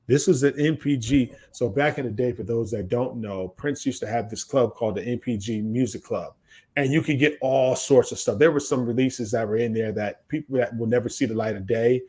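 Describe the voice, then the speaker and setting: A man's voice: mid-range voice, fairly quick, a little mellow, slightly rough. A man hosting a podcast, talking casually and enthusiastically about music in emphatic, repetitive, slangy phrases. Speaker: podcast host.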